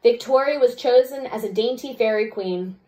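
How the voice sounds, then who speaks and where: A woman's voice: fairly high pitch, moderate pace, warm tone, poor audio. A woman, a second-grade teacher, reading a storybook aloud expressively and adding chatty explanatory asides for children.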